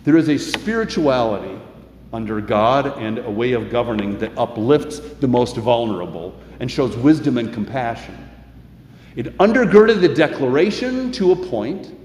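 A man giving a sermon as pastor, preaching in an earnest, measured way and reading scripture aloud. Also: medium pitch, expressive, a little mellow, slight background noise.

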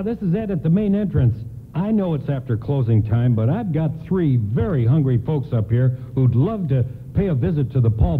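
A man's voice: mid-range voice, natural voice. A man acting a kind, folksy department store doorman in a radio play, earnest and reassuring. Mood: friendly, eager. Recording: lo-fi, faintly noisy radio broadcast.

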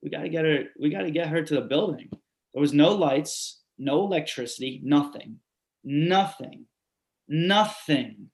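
A man's voice: fairly high pitch, a little slow, vocal fry. A man reading a dramatic monologue, telling in an urgent, repetitive way how floodwater trapped his family. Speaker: reader performing a monologue. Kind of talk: readers theatre monologue.

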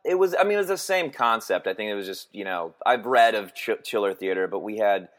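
Male guest, 40s, from Texas, lowish voice, stop-start delivery. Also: expressive, fairly bright tone. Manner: halting, many restarts and fillers. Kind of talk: casual interview chat.

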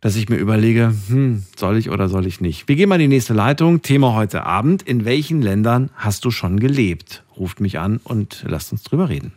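Chatty low-voiced radio phone-in host. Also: male voice, brisk, few pauses. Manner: chatty, laughs often, lively pitch swings. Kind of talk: late-night radio phone-in chat. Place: radio studio.

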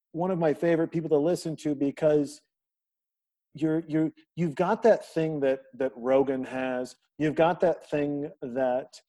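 A man's voice: on the high side, dark tone. A man hosting a podcast, chatting casually and praising his guest in a friendly, effusive way, often repeating words as he starts. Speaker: podcast host.

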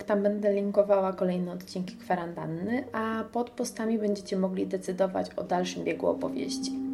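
A woman's voice: medium pitch, gravelly, noisy background. A woman hosting a radio show, presenting a review with her own commentary.

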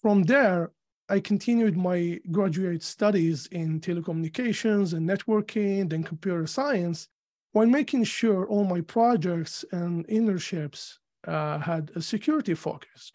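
A man from Algeria with an Algerian accent, answering interview questions in a measured, thoughtful way with frequent fillers. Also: high voice, darkish tone. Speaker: guest. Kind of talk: casual interview.

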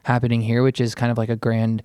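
Opinionated man with a mid-pitched voice. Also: completely flat delivery, quick pace, dark tone, clean tone, no room sound, studio quality. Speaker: podcast panelist, art critic. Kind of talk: casual panel discussion.

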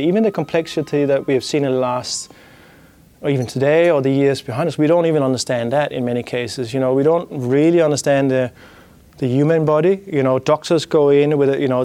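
A man's voice: medium pitch, darkish tone. Danish-accented man musing aloud on business. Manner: thinking aloud, with frequent restarts and "you know" fillers. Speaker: business leader giving a talk. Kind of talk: reflective business talk.

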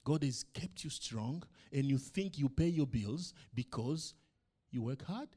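A middle-aged man, a pastor whose first language is not English, preaching a sermon to his church with emphatic rhetorical questions, swinging between loud and soft. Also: mid-range voice, expressive, a little slow, slightly rough.

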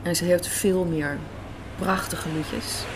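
Woman chatting amid clear background noise. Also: lowish voice, fairly even intonation, slow pace. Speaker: interviewee, radio drama maker. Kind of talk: conversational interview. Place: café. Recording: noisy café ambience. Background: café noise.

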